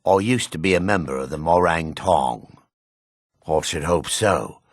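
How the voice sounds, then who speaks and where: Low, raspy, haughty dark elf. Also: male voice, unhurried, dry recording, studio quality. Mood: grave, guarded. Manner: curt, disdainful, suspicious. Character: gruff, haughty adult male dark elf rogue. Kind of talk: video game character dialogue.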